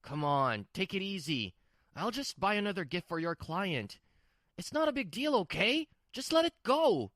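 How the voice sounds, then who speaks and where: A woman's voice: mid-range voice, very animated, normal speed, a bit bright, no echo, clean studio sound. A woman voicing a stubborn, brusque husband who dotes on his little sister, in a scripted manga dub. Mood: dismissive. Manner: brusque, defensive.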